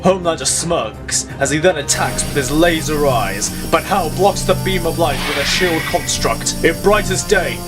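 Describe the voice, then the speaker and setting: A man's voice: on the high side, lively intonation, normal speed, few pauses. A man delivering dramatic scripted narration of an audio drama. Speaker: narrator of a fan audio drama, voicing the characters. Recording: music under the voice. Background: background music.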